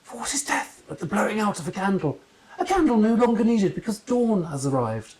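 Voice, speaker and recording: A man voicing a loud, gushing, eccentric aunt from a storybook, melodramatic and drawn-out. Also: sits high, very animated, voice pushed up, poor audio. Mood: dramatic.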